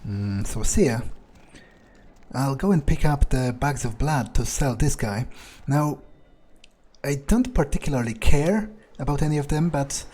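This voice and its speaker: A man's voice: mid-range voice, warm tone, breathy edge. A man playing a game in character as a vampire, thinking aloud in a hesitant, self-interested, sweary way. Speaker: video game player narrating in character as a vampire.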